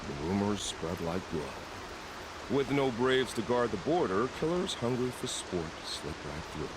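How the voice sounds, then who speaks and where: An actor playing an unsettlingly calm, death-loving former soldier in a video game, musing and savoring his menace in measured phrases. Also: lowish voice, noisy background. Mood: intrigued, inviting. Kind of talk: video game dramatic acting.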